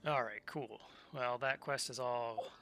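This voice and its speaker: A man's voice: medium pitch, steady tone, unhurried. A man streaming a game, chatting casually and muttering to himself as he plays. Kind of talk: casual gameplay commentary. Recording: game music loud behind.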